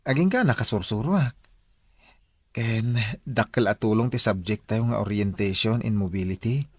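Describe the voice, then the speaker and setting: A man's voice: fairly low pitch, normal speed, a little mellow, no echo, lightly acted. A man playing an earnest teenage schoolboy in a Filipino radio drama, with a Filipino accent. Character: Lindon, earnest teenage blind schoolboy singer.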